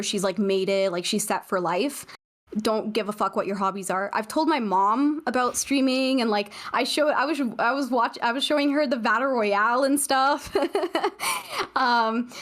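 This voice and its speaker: A woman's voice: fairly high pitch, fairly bright tone. A young woman from Canada, a guest on a streaming podcast, talking casually and rambling with many fillers and restarts.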